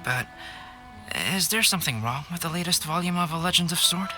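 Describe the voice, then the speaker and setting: An actor playing a calm, analytical young male artist in a video game, speaking in a measured, formal, polite way. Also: a little high, faint music behind. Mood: calm, reasoning.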